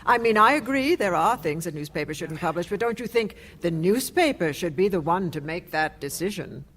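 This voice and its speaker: A woman's voice: fairly low pitch, animated, a little fast, a bit bright. Poised, middle-aged woman newspaper publisher. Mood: polite, probing. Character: poised, worried, probing female newspaper publisher. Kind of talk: radio play acting.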